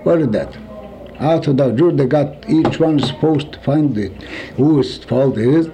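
A man in his seventies from Poland with a Yiddish accent, recalling his life in an interview, halting and searching for words. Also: mid-range voice, warm tone, slight background noise, rough recording. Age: 70s. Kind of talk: oral history recollection.

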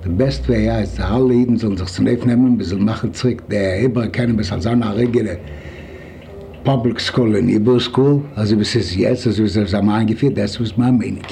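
A man in his seventies from Poland with a Yiddish accent, recalling his life in an interview, halting and searching for words. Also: fairly low pitch, gravelly, mellow, a little hiss or hum. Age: 70s. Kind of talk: oral history recollection.

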